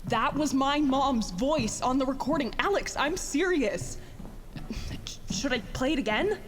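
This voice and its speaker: A woman plays an anxious young petty officer in a sci-fi audio drama, grieving and full of self-doubt. The voice is fairly high and unhurried, with halting, stammered phrasing. It rings in a long reverb over faint noise. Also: animated. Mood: rattled, sheepish.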